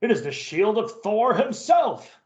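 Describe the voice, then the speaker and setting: A man's voice: high-pitched, very animated, a little slow, a little mellow, reverberant, lo-fi sound, voice pushed up. A man voicing a solemn, earnest and secretive old Viking smith in a dramatic comic-book story reading.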